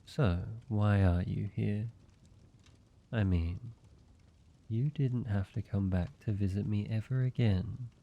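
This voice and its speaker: A man's voice: lowish voice, creaky, lo-fi sound, heavily acted. An actor playing an ancient, flirtatious male vampire in a roleplay audio drama, courteous and teasing with a playful air of menace. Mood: amused. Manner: playful mock-menacing, teasing, drawn-out delivery.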